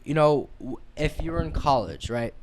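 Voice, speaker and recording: A man's voice: fairly high pitch, animated, normal speed, a little hiss or hum. An eighteen-year-old American man hosting a casual podcast chat, speaking informally with slang and swearing. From Texas, United States. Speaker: podcast host.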